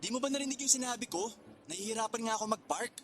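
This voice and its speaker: A man's voice: extremely high pitch, rapid-fire, a bit bright, slight background noise. A man playing a casual, mildly exasperated date in a Tagalog radio drama, talking in a placating way.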